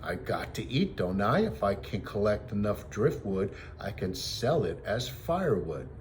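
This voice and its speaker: A man voicing a streetwise, gruff but soft-hearted orphan boy in rough street talk, in a storybook reading. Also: on the low side, big pitch swings, unhurried, slight background noise. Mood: irritated.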